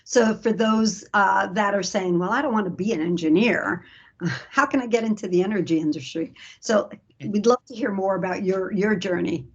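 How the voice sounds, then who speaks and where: A woman with a breathy voice moderates a panel and asks the speakers encouraging questions. The sound is dull and lo-fi, as on a video call. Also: medium pitch, normal speed.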